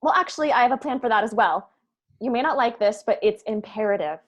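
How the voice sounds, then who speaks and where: A brainy young woman with a fairly high voice, precise and sincere about her plans. A woman acts her out at a script read-through. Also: lively intonation, quick pace. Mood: confident. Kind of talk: table read of a comedy script.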